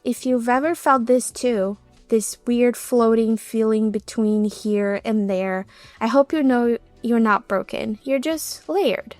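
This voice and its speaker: Young Brazilian woman, podcast host; heartfelt, confiding monologue, voice on the higher side, dry close recording. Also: takes it slowly, a little mellow, no echo, soft background music. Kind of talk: reflective solo podcast monologue.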